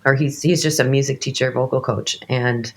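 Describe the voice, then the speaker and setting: A woman's voice: very deep voice, steady tone, normal speed. A woman in her late thirties, a worship leader fighting a cold, talking casually with many restarts and fillers. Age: late 30s. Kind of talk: casual conversation.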